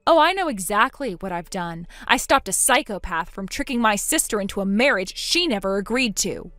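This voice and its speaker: A woman's voice: normal speed, no echo, close to an everyday voice. A woman voicing a determined older sister who speaks firmly and steadily. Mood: cold anger. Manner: firm, steady, lower-voiced.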